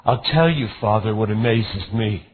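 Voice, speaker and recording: A man's voice: lowish voice, normal speed, husky. A man voicing a resentful, dutiful elder son who speaks with bitter indignation, in a raised voice. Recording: tinny phone-like recording.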